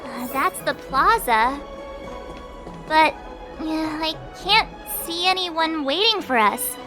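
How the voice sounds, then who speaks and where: A perky, outspoken teenage traveler in a video game. The voice is extremely high and bounces around expressively, candid and chatty, with music clearly playing underneath. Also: female voice, lively intonation, slow. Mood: chatty, curious.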